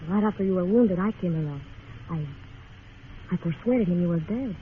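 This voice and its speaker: A woman's voice: fairly low pitch, normal speed, natural voice. A woman playing a mysterious, fatalistic young temptress, languid and teasing, in an old radio western. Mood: matter-of-fact, ominous. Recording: muffled, faintly noisy old radio recording.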